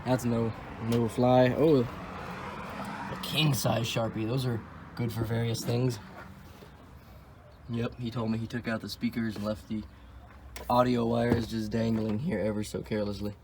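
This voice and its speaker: A young man talking casually while he works over a cheap car, thinking out loud and tossing in sarcastic jokes. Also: medium pitch, little pitch movement, measured pace, long pauses, background noise. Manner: wisecracking, sarcastic asides; offhand, thinks aloud while working on a car. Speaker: car vlogger. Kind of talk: casual vlog commentary.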